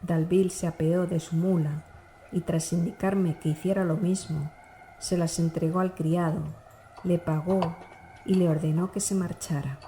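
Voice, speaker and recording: Low, mellow woman narrating a dark novel. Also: fairly even intonation, measured pace, a little hiss or hum. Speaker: audiobook narrator. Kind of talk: scripted literary narration of a dark classic novel.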